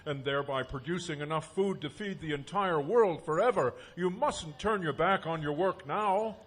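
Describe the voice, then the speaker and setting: Mad scientist father, male actor: higher-pitched, melodramatic, bumbling schemer, comic live audio drama. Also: normal speed, a little hiss or hum, close to an everyday voice. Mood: pleading. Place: stage, live audio theatre performance.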